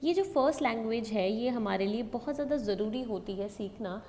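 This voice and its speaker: A woman's voice: a little high, lively intonation, a little fast, darkish tone. A woman with a North Indian accent giving an explanatory lecture, mixing in English terms and repeating key points in new words. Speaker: education lecturer. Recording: echoey hall reverb, background noise.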